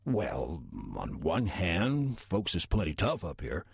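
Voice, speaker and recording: An actor playing a genial, wry old demon who passes as a folksy small-town churchgoer in an audio drama, with a husky, drawling voice. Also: male voice, low-pitched, big pitch swings, slow, dry recording, heavily acted. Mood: folksy, musing. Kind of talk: audio drama dialogue and narration.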